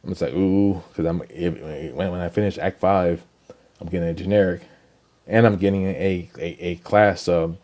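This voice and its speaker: Young male gaming vlogger, deep mellow voice, hoarse with a cold, halting and rambling. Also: fairly even intonation. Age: young adult. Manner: rambling, halting, many ums and repeated words. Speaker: gaming channel host. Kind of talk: casual game account walkthrough.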